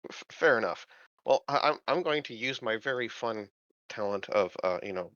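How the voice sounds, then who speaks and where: A man's voice: lowish voice, lively intonation, normal speed, a bit bright, no echo. A man chatting casually as a player in a tabletop game, with hesitant, stammered phrasing. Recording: slightly muffled dry voice recording.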